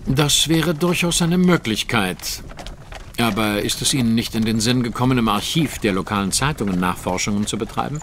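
An actor playing a cool, analytical and self-assured detective in a German audio drama, with precise, formal diction. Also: male voice, mid-range voice, animated, moderate pace, fairly bright tone, a little hiss or hum, lightly acted.

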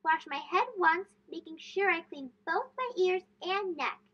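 An eager little boy in a storybook, played by a woman, speaks in a high voice. He is brave and earnest, reciting carefully with a bouncy lilt. The sound is slightly dull. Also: animated, a little slow, sharp tone, heavily acted.

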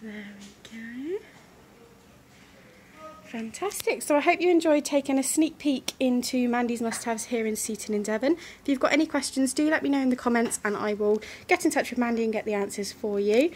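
A woman's voice: a little high, a little fast, a little hiss or hum. Bubbly English woman touring a shop. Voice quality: slightly muffled by a face mask. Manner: enthusiastic, gushing praise. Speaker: vlogger giving a shop tour. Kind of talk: upbeat walking shop tour. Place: small gift shop indoors. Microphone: phone camera held while walking.

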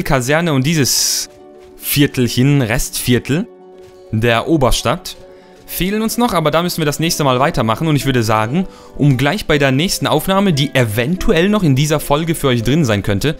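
A young man with a slightly rough, cold-worn voice, chatting playfully and rambling as he narrates his gameplay. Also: medium pitch. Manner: playful, rambling, repeats words for fun. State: hoarse from a cold. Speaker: let's play host. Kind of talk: casual gameplay commentary.

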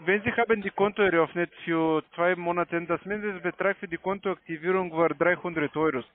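Male telesales caller, high-pitched, non-native accent, halting and polite, narrowband phone sound. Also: fairly even intonation. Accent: foreign, non-native. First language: not German. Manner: halting, broken grammar, polite. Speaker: call center scam caller. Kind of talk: semi-scripted sales phone call. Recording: tinny narrowband phone line.

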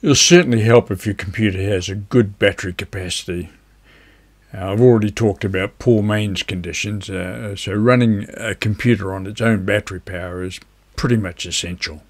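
A man speaks in a fairly low voice. He presents practical advice informally, in a measured, explanatory way, as if talking straight to the viewer. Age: older, around 70s. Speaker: amateur radio operator, video presenter. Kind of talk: informal instructional talk to camera. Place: indoors, seated before a virtual beach backdrop.

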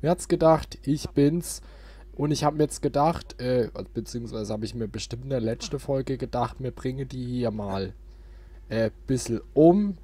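Slow-talking man doing gameplay commentary. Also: medium pitch, lively intonation, mellow, slightly rough. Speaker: let's player, host. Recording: game noise behind the voice. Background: video game ambience.

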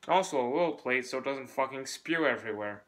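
A young man hosting a homemade comedy cooking show in a family kitchen, deadpan and casually swearing. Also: medium pitch, fast talker, slight background noise. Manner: deadpan, swears casually, switches between bickering and cooking instructions. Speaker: comedy cooking-show host playing both himself and a rival. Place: family home kitchen and hallways, indoors.

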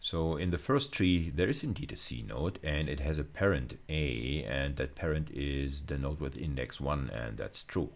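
A man's voice: a bass voice, moderate pace, few pauses. A middle-aged man giving an informal lecture as a university teacher, explaining things step by step and often rephrasing as he thinks aloud.

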